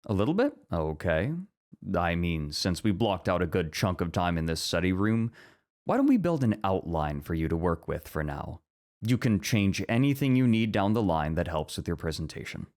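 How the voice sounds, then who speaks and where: A man playing a bookish, earnest, easily flustered professor in an ASMR roleplay, with a measured, articulate and slightly stuffy way of talking. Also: low-pitched, big pitch swings, darkish tone. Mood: helpful.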